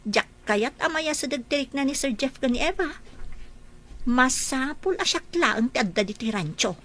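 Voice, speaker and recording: A woman's voice: high voice, lively intonation, moderate pace, a bit bright. A woman playing a jealous, scheming woman in a radio drama, muttering plans to herself.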